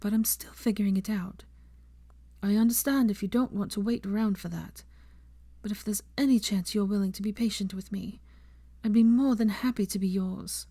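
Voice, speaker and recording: A woman voicing an earnest, emotional young woman in a dramatic audiobook, high-strung and vulnerable. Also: steady tone, moderate pace, warm tone, close to an everyday voice. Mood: tearful, remorseful. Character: emotional young woman, outraged yet tender and remorseful. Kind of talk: dramatic audiobook character acting.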